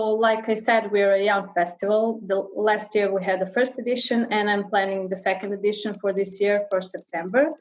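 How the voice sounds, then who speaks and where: A woman speaks over a video call. She is measured and careful, often pausing mid-sentence to find the right word. The audio is dull and lo-fi, with muffled highs. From Serbia. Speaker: festival founder. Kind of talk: webinar Q&A answers.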